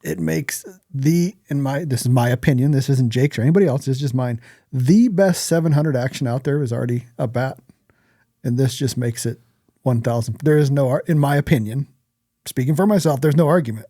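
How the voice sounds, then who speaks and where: A man's voice: medium pitch, brisk, dark tone, no room sound, clean studio sound. A man co-hosting a podcast, chatting informally about gear with jokes and firm opinions. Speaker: podcast co-host. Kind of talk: casual chat about hunting gear.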